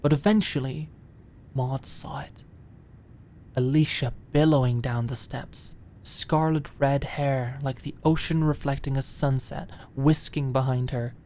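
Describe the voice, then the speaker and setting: A woman's voice: very deep voice, steady tone, unhurried, dark tone, slight background noise. A woman reading dramatic scripted narration as an audiobook narrator.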